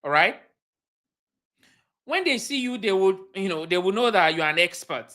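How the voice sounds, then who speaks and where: A woman's voice: mid-range voice, animated, normal speed, fairly bright tone. A woman with a Nigerian accent coaching viewers on a livestream. She talks emphatically, repeats her key points and puts questions to her audience. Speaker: cybersecurity career coach. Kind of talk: livestream teaching talk.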